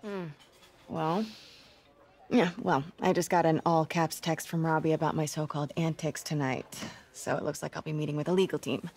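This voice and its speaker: A dry, teasing young reporter. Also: female voice, deep voice, steady tone, normal speed, darkish tone. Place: diner.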